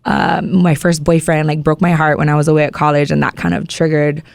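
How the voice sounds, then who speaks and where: A woman's voice: deep voice, flat delivery, brisk, gravelly, a little mellow, dry recording. A woman in her thirties from Maui, Hawaii, a singer-songwriter guest chatting in a laid-back, playful way with frequent giggles. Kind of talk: casual interview.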